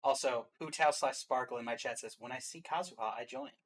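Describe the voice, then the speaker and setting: A man's voice: mid-range voice, brisk. A man hosting a reaction show, chatting casually as he reacts and ranks. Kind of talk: casual reaction chat and ranking.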